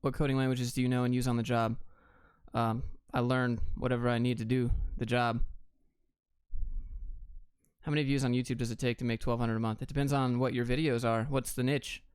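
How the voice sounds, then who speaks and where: A man's voice: mid-range voice, little pitch movement, fairly quick, a little mellow, clean tone, no echo, clean studio sound. An American man hosting a casual livestream, reading out questions and answering them in a blunt, clipped, offhand way. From Atlanta, Georgia, United States. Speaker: livestream host.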